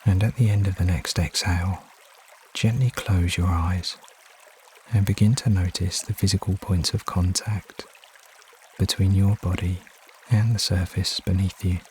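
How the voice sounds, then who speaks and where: A man leading a guided meditation as narrator, giving soothing, unhurried instructions with frequent pauses. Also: deep voice, slight background noise. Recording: steady water ambience behind voice. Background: trickling stream water sounds.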